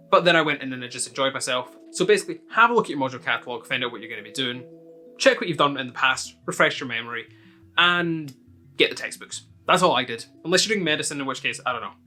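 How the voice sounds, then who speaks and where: A man's voice: mid-range voice, pushed and bright. A young Scottish man, a university student, giving friendly, step-by-step advice as he answers viewers' questions one by one. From Scotland. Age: young adult. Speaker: student video host. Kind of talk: casual advice talk to camera.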